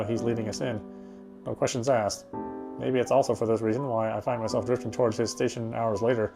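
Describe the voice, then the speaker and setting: A man reading a game's first-person story text aloud in a dramatic, brooding, expressive way. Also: mid-range voice. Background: game music.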